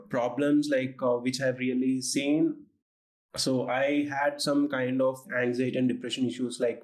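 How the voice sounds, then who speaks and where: A man's voice: medium pitch, rough recording. A man from India, a startup co-founder, answering interview questions hesitantly with frequent "uh" and "you know, like" fillers.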